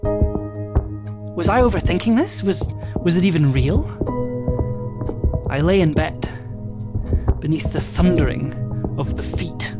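An actor playing an exhausted, anxious restaurant worker in an audio drama monologue, with a hesitant, halting delivery that repeats words. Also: medium pitch, lively intonation. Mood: anxious, bewildered. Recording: music behind the voice.

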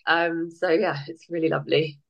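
A woman's voice: low-pitched, fairly even intonation, moderate pace, creaky, highs cut off. A woman guest on a podcast, a bit nasal from a cold, talking enthusiastically and laughing often. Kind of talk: casual interview chat.

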